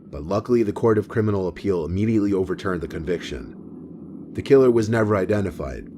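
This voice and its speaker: A man reading a scripted documentary narration in a steady, even storytelling manner. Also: low voice. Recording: voice-over with clear music behind.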